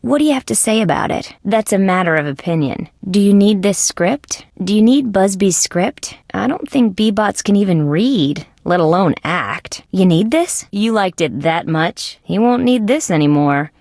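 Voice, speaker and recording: Wry, inquisitive lady detective for an adventure game: deadpan sarcasm, gravelly fry, dry close sound. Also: mid-range voice, moderate pace, hardly any pauses, no room sound. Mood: questioning, then dry, sardonic. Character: female insect police detective, wry, inquisitive, level-headed. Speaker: detective character in a video game. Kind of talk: scripted adventure game voice lines.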